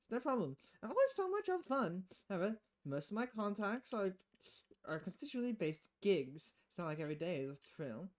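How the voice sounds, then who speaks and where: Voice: medium pitch, very animated, a little fast, lightly acted. A streamer voicing a confident, sardonic young female hacker in a visual novel game. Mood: breezy, confident. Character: confident, flippant young woman freelance hacker.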